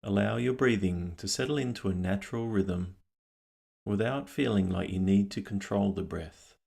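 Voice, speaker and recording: A man leading a guided meditation, speaking calmly and gently in unhurried phrases with long pauses. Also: lowish voice, creaky, husky. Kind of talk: guided meditation, scripted narration.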